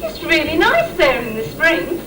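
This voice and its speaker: Lady as young panto partner dodging duty, very high slow voice, noisy far-off recording. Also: huge pitch swings, exaggerated voice. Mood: evasive. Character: reluctant twenty-seven-year-old business partner making excuses. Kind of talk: amateur Christmas pantomime acting in rhyme. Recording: noisy echoey distant stage recording.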